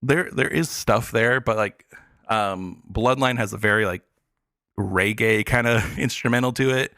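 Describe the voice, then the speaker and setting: A man with a fairly low voice talks casually on an arts podcast. He explains haltingly, full of filler words, and the sound is dry and close. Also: pushed and bright, dry recording. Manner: hesitant, filler-heavy explaining. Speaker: podcast guest reviewing pop albums. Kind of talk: casual arts talk chat.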